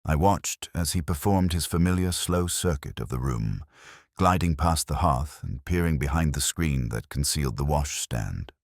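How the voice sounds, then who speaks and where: A man's voice: extremely low pitch, lively intonation, normal speed, dry recording, studio quality. A man reading a Victorian detective story as scripted dramatic narration. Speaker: audiobook narrator.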